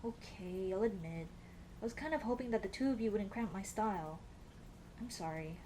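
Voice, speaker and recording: A woman's voice: medium pitch, normal speed, dark tone, a little hiss or hum, lightly acted, rough recording. A young woman playing a shy, teasing tomboy childhood friend in love, in a casual, hesitant and intimate ASMR roleplay. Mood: embarrassed, fond. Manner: playful, bashful, hesitant, casual dude-talk. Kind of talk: ASMR roleplay acting, intimate girlfriend scene.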